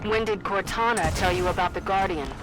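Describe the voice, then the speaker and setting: A woman playing a sharp, inquisitive soldier in a video game, speaking earnestly. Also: medium pitch, brisk, lightly acted. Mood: questioning, earnest. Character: sharp, questioning female soldier on a sci-fi squad. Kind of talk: dramatic video game acting. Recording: loud music and effects behind.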